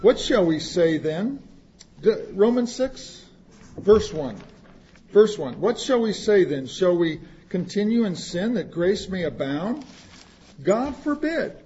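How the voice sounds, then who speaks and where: Slow high-voiced preacher, husky. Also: male voice, very animated, a little mellow, highs cut off, slight background noise. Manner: reads scripture aloud then explains it plainly, joking aside with the congregation. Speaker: pastor. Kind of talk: sermon.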